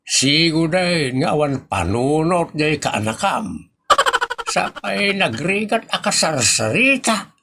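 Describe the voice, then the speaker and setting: A man's voice: on the high side, big pitch swings, takes it slowly, bright, forceful tone, full character voice. A man playing a grumbling, scornful, indignant husband in a comic village audio drama, with a Filipino Ilocano accent.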